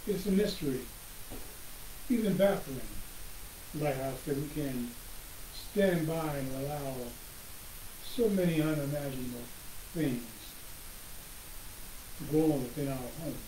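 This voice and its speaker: Hoarse pastor reading slowly. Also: male voice, fairly high pitch, warm tone. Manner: slow, measured reading with pauses, clearing his throat. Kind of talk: sermon with scripture reading. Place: home office, seated at a desk. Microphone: webcam across the room. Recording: roomy webcam recording with hiss.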